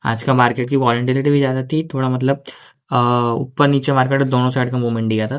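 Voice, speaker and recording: This is a man talking casually to his viewers about market levels. His throat is sore, so he keeps his pace slow and easy, with a mid-range pitch. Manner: conversational, repeats filler "theek hai". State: sore throat, speaking slowly. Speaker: stock market analyst. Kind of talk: casual trading analysis to viewers.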